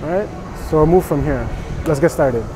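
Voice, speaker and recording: A man's voice: fairly high pitch, moderate pace. A young man vlogging casually to camera in a large commercial gym, chatty and laid-back. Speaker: fitness vlogger. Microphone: camera mic from a few meters off. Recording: noisy gym background. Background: gym noise and clanking weights.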